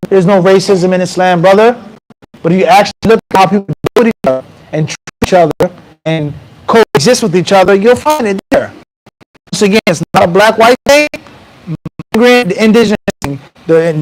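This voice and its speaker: Animated high-voiced American mosque teacher. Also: male voice, normal speed, low-quality recording. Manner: animated, conversational, quotes Arabic passages. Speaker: mufti teaching a class. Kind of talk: mosque lecture. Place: small mosque room with bookshelves. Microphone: handheld mic close to mouth.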